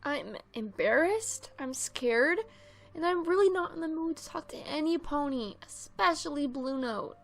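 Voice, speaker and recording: A woman playing an anxious, easily embarrassed young filly in an animated pony drama. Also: sits high, a little slow, gravelly, heavily acted. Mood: nervous, sarcastic.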